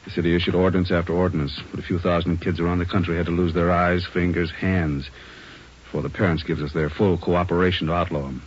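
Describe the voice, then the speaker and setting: An American man playing a blunt, weary, indignant police chief in a 1940s radio drama, gruff in manner. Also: low-pitched, little pitch movement, fast talker. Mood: bitter, indignant. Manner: gruff, forceful, world-weary. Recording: dull old 1940s radio recording.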